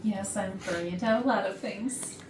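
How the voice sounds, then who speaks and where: A woman's voice: mid-range voice, normal speed, close to an everyday voice. A woman acting a flirty, catty, self-satisfied HR manager in an arch, sarcastic way at a comedy staged reading. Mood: flirty, coaxing. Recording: noisy roomy rehearsal, far from mic.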